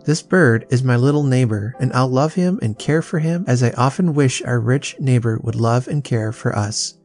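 A man reading aloud and voicing a poor, cheerful, kind-hearted little girl in a soft, earnest way. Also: medium pitch, normal speed, faint music behind, lightly acted. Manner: gentle, earnest, tender.

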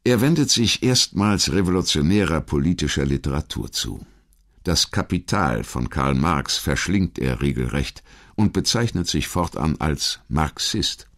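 A man reading a scripted historical narration in a measured, articulate storytelling manner. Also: very deep voice, lively intonation. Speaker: documentary narrator.

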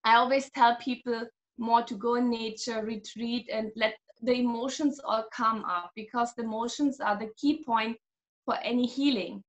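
A high-pitched woman in her thirties, originally from Germany, speaking on a video call from a small home room. She talks animatedly as a guest explaining her healing work, often breaking into laughs. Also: steady tone, moderate pace, dull audio. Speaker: guest, energy healer. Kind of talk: casual video-call interview.

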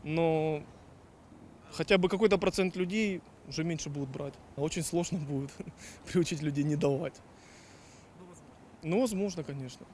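A man explains his opinion to a street interviewer step by step, pausing on fillers. His voice is a little high, and faint traffic hums in the background. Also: a little mellow. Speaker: passerby. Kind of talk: street vox pop.

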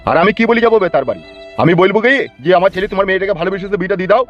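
A man's voice: high-pitched, very fast, lightly acted. A man voicing a proud, stubborn village father in a comic cartoon, argumentative, with a rural West Bengal accent. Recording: dull lo-fi audio, music behind.

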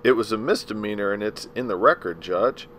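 A man voicing a courteous defense lawyer who questions in a measured, gentle way. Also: fairly low pitch, a little hiss or hum, lightly acted. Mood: probing.

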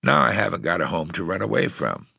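A man voicing a frail, husky eighteen-year-old runaway tramp boy with a cocky, bitter, streetwise way of talking. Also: low voice, big pitch swings, fast, bright, forceful tone, no room sound, studio quality, pitched above the performer's own voice, heavily acted. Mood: defiant, streetwise. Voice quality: husky, hoarse.

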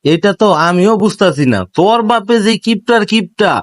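A man's voice: high voice, normal speed, lightly acted. A man playing a sly, mocking young friend in a comic cartoon, goading and scornful, with a Bangladeshi accent. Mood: scheming.

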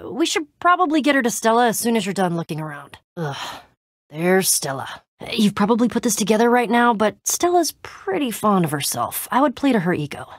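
Youngish woman game hero, tough but exasperated, lively swingy pitch, dry close sound. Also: brisk, no room sound. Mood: wary. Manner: wry, casual, hesitant trailing-off delivery. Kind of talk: video game voice acting.

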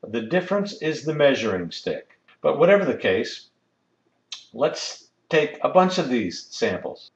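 A man's voice: medium pitch, sing-song, measured pace, roomy sound, lo-fi sound. A man giving a methodical, step-by-step technical lesson as an instructor. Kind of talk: explanatory screen-recorded lesson.

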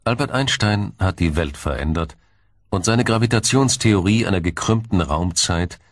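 A man reading scripted documentary narration in a measured, explanatory manner. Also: deep voice, expressive, no echo.

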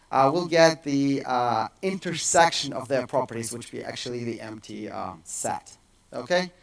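A man in his thirties gives a technical keynote in a large dark auditorium. His voice sits slightly high, and he talks casually with frequent fillers and restarts. The sound comes from some distance off the mic. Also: vocal fry. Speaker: conference speaker.

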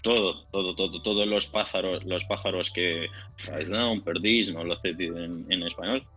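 Male podcast guest, Portuguese accent and native speaker, lowish bright voice, halting casual delivery. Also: steady tone, breathy edge, faint music behind, rough recording. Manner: halting, searches for words, repeats phrases. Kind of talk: casual conversation.

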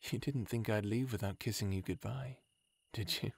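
A man playing a gravelly, tender husband. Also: lowish voice, normal speed, no echo. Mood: wry. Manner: soft and gentle, with wry humor. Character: tender, teasing adult man saying goodbye. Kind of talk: full-cast audiobook acting.

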